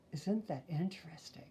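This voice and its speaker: An older woman reads a storybook aloud to young children in a soft-toned, mellow voice. She takes her time over each phrase at a very slow pace, slipping in gentle asides to the listeners. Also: low voice, a little hiss or hum. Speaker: storyteller reading a children's picture book aloud.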